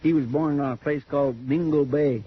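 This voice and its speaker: An actor playing an earnest, naive frontier deputy with an American rural drawl, deferential and rambling, in a 1950s radio western. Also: male voice, fairly high pitch, steady tone, fairly quick, close to an everyday voice. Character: folksy, earnest, naive marshal's deputy in Old West. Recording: muffled, noisy old radio recording.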